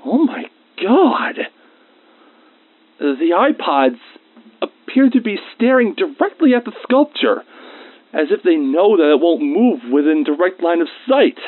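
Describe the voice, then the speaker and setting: A man playing a researcher who records a semi-formal audio diary about creatures he is fond of, measured with informal asides, through a radio-like filter. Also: high voice, big pitch swings, dry recording, heavily acted. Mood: anxious, amazed. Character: male facility researcher logging notes, fond of creatures. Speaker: researcher recording a personal log. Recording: dry, thin phone-like radio sound.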